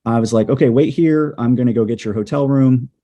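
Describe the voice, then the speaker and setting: A man, a storm chaser and journalist, telling a story in a casual interview, animated and full of fillers. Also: medium pitch, muffled recording. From USA. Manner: animated, hesitant fillers, quickens at times.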